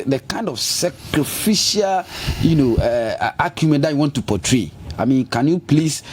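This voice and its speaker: A man hosting a TV interview, talking in a halting way and repeating words as he coaxes his guest. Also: on the high side, a little mellow. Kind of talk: conversational interview.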